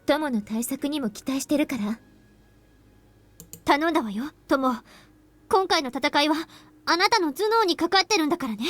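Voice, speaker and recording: Visual novel role: confident bossy teen girl, very high, dry close recording. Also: lively intonation, fast talker, pauses often, fairly bright tone, no room sound. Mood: confident, encouraging. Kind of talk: Japanese visual novel voice acting.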